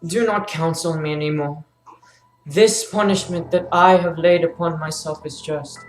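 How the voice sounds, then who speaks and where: A woman's voice: low voice, steady tone, normal speed, echoey room, faint music behind, close to an everyday voice, rough recording. A woman playing an anguished, self-cursing blinded king in a dramatic reading of Greek tragedy. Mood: defiant.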